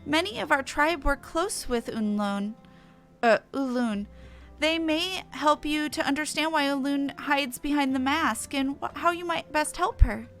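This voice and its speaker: Woman voicing an earnest high-pitched huntress. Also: measured pace, a bit bright, faint music behind. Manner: earnest, formal.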